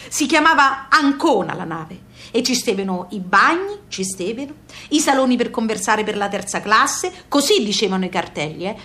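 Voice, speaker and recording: A woman's voice: high-pitched, a bit bright. A woman playing a plain-spoken, nostalgic emigrant mother in a radio drama, with a Neapolitan-tinged Southern accent and colloquial, repetitive phrasing. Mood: wistful recounting. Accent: Neapolitan-influenced Southern Italian. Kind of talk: theatrical radio monologue, intimate storytelling.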